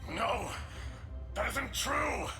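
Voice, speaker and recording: A man's voice: extremely high pitch, lively intonation, very slow, reverberant, music in the background, heavily acted. A man playing a proud, wrathful ancient earth dragon in a video game, with grandiose, contemptuous delivery. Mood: defiant. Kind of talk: scripted video game voice acting.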